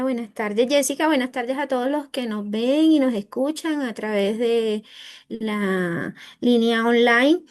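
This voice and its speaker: High-voiced woman with a Colombian Caribbean accent. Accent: Colombian Caribbean coast. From Caribbean coast of Colombia. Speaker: guest writer. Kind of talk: interview answers.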